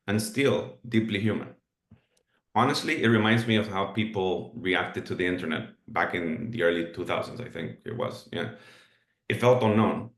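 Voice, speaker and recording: A man's voice: on the low side, a bit bright, echoey room. A man whose first language is Spanish, presenting a live webinar in an earnest, explanatory way. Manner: earnest, explanatory, with filler restarts.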